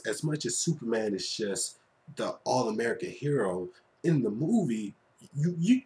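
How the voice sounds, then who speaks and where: A man's voice: medium pitch, darkish tone. A man giving a casual, enthusiastic film review, gushing conversationally and repeating phrases for emphasis. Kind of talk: casual, enthusiastic fan review to camera or mic.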